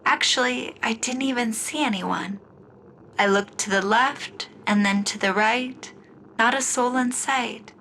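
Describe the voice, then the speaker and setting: A woman narrating a scripted first-person story in the style of an old-time radio show, with deliberate storytelling phrasing. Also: on the high side, pushed and bright, slight background noise, low-quality recording. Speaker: writer and narrator of an audio story.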